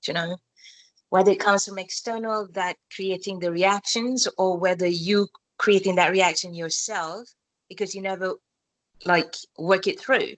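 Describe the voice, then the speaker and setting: A woman, born in Malaysia, answering interview questions in a calm, reflective way, speaking English with loose grammar and spiritual phrasing. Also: medium pitch, no echo. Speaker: skin expert interviewee. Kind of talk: reflective casual interview talk.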